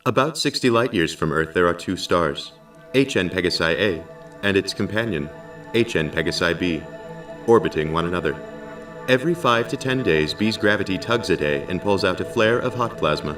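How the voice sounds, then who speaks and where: A man playing a calm, dutiful artificial intelligence who narrates a science fiction audio drama in a measured, formal and precise way. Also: sits low. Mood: measured storytelling. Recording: slightly distant, music underneath. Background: music.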